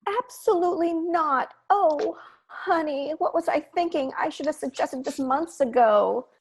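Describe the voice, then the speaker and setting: A woman's voice: sits high, unhurried, slight background noise. A woman playing a chirpy, doting, pushy sitcom mother in a table read over a video call. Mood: delighted, chatty. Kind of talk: comedy script table read. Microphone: computer on video call.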